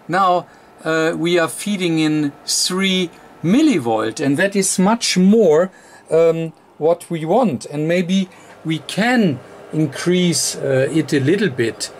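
A man with a non-native English accent giving an unscripted technical explanation as a tutorial presenter, haltingly, with very frequent ums and uhs. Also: a little high, animated. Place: indoor electronics workbench. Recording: clear background noise.

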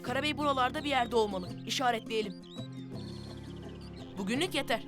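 A woman voicing a brave, resolute child leader in a cartoon, giving firm, brisk commands. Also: on the high side, music in the background, lightly acted. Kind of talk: cartoon voice acting.

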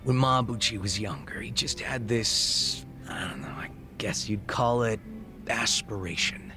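A man voicing a laid-back, wistful gang leader in his thirties in a video game, reflective, unhurried and casually profane, with a gravelly voice. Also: on the low side, music in the background. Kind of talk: video game cutscene dialogue acting.

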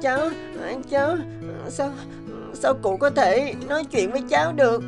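A woman voicing a young bird in a fairy-tale cartoon, expressive and hesitant in speech. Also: high-pitched, moderate pace, over music. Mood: humble, eager. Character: young cartoon bird, naive or scheming by turns. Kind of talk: animated fairy-tale dubbing.

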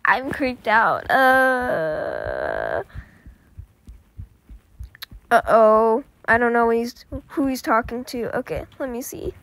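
A woman's voice: on the high side, sing-song, slow, vocal fry, long pauses, fairly bright tone, dry recording. A young girl hosting a gaming video, sick and a little off-voice, chatting hesitantly and repeating words as she plays. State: sick, voice sounds off. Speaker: gaming channel host. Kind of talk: casual gameplay commentary.

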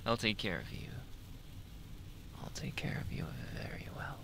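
A man's voice: mid-range voice, lively intonation. Man playing a whispering, possessive yandere lover boy. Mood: tender, reassuring. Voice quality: breathy, whispery, creaky. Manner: slow, lingering, teasing endearments with soft chuckles. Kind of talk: ASMR roleplay, intimate whispered monologue.

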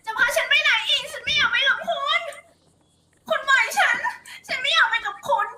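A woman's voice: extremely high pitch, quick pace. Woman playing a frightened captive heroine. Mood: tearful. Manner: overwrought melodrama, cries out. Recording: loud background noise.

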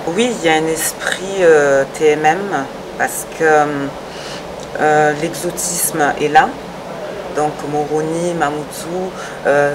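A woman in her thirties explaining her project in a conversational interview, pausing often between short phrases. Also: deep voice. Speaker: restaurant owner. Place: restaurant terrace, dining room and working kitchen. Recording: distant, echoey, loud background noise.

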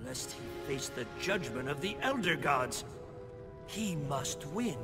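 An actor playing a solemn thunder god, desperate to protect Earth, in a formal, declamatory video game style. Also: male voice, fairly high pitch, animated, slow pace. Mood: urgent, commanding. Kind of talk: dramatic video game cutscene acting. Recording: game cutscene with music underneath.

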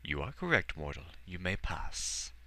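Man doing a talking magic door, aloof, solemn, slow riddles, very deep. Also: sing-song, sharp tone, full character voice.

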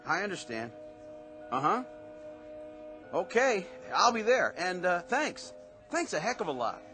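An actor playing a down-on-his-luck former pitcher in a radio drama, humble and eager. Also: sing-song, unhurried. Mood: plain. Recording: radio play with music underneath.

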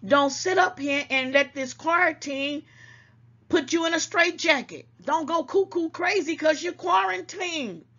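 A woman's voice: high-pitched, unhurried, bright, forceful tone, highs cut off. An older woman hosting an online talk show, scolding and preaching to her viewers and calling them baby, at times a little congested. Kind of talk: casual commentary to viewers.

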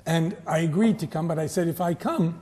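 A man, a guest historian recounting his background in a measured, storytelling way on a studio interview. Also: on the high side, dark tone, long reverb tail. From United States. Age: 70s. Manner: measured, storytelling, occasional self-correcting restarts. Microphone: lapel.

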